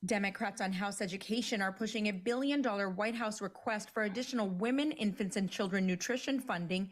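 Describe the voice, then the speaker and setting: A woman newscaster reads a scripted bulletin. Her pitch is mid-range and her delivery is even and measured. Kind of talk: scripted news read.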